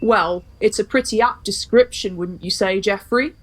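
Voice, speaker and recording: A woman's voice: mid-range voice, normal speed, lightly acted, rough recording. A woman playing a polished live radio news reporter in a comic pantomime. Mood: bright, professional. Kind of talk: parody radio news presenting.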